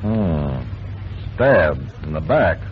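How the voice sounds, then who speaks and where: A man's voice: a bass voice, very animated, drawn-out words, heavily acted. An actor playing a no-nonsense homicide captain in an old radio police drama, clipped and businesslike as he gives orders and questions. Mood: probing, terse. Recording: muffled, noisy vintage radio recording.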